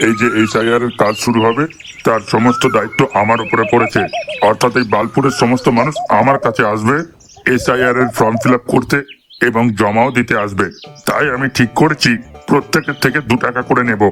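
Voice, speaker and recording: A man's voice: mid-range voice, steady tone, a little fast, music in the background, natural voice. Man acting a bossy, scheming village gang boss. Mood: scheming, explaining. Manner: hectoring, explanatory, swearing. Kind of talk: comic cartoon dialogue.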